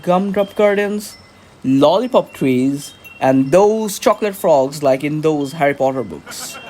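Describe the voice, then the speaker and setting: A woman playing a breezy, overconfident spaceship captain in an audio sitcom, grandly reassuring and unhurried. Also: low-pitched, expressive, dark tone. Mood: reassuring, dreamy. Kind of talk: sci-fi audio drama sitcom acting.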